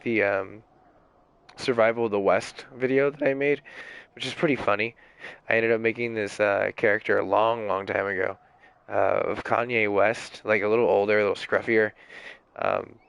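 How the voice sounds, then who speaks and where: A young man streaming games while sick, with a raspy, hoarse voice, rambling in casual chat full of fillers and swearing. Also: lowish voice, fairly bright tone, dry recording. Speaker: game streamer.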